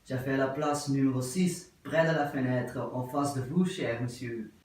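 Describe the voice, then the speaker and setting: A man playing a prim English wife who answers with careful, formal politeness, repeating herself in a slow and even way. The voice rings through a very echoey room and reaches the mic from a distance, as in a theatre scene filmed on a camera. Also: medium pitch, natural voice. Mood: politely puzzled. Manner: formal, measured, repetitive politeness. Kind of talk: theatre acting of an absurd play.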